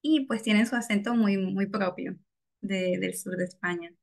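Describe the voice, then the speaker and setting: A woman's voice: mid-range voice, steady tone, moderate pace, vocal fry. A young woman with a Venezuelan accent, a guest on an interview, answering reflectively with small laughs and many "bueno"s. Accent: Venezuelan Spanish. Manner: reflective, frequent soft laughs, fillers like "bueno". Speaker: guest, data scientist. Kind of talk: casual interview.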